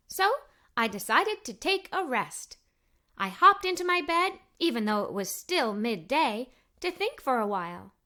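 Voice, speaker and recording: A woman reading a children's story aloud in the first person as a young girl, expressive and full of wonder. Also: high-pitched.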